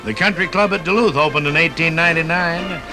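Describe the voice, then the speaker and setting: A man's voice: a little high, brisk, rough recording. An American man reading scripted narration and sponsor messages as a 1950s radio host. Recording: 1950s radio broadcast recording, music.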